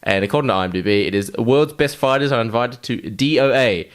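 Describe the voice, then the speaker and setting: Sweary male podcast co-host, mid-pitched voice. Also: fast, clean tone. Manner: sweary, blunt. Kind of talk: casual comedic film chat.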